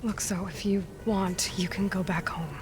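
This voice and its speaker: A woman playing a blunt, guarded young outlander machine hunter in a video game, plain-spoken and firm, at times hushed. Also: mid-range voice, steady tone, a little slow, noisy background, lightly acted. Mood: low, steady. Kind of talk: naturalistic video game acting. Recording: music behind the voice.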